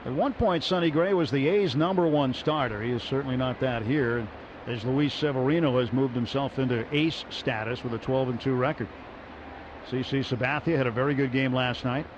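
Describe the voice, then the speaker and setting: Guy doing radio baseball calls, steady then jumps up on big hits, dull muffled audio. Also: mid-range voice, normal speed. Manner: steady, rises sharply on big plays. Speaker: play-by-play announcer. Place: ballpark broadcast booth. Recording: muffled radio broadcast with crowd noise.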